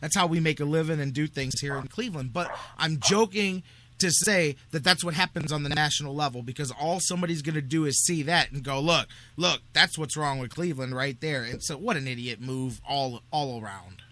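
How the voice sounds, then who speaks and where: A man hosting sports talk radio, giving opinions in an animated, emphatic way and repeating himself for emphasis. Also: fairly high pitch, fairly quick.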